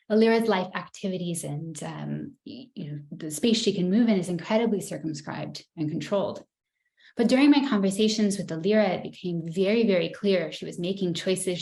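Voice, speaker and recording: Lowish-voiced woman presenting research. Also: a little mellow, reverberant. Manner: measured, storytelling delivery with occasional light laughs. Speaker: researcher giving a webinar talk. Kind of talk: scripted academic presentation.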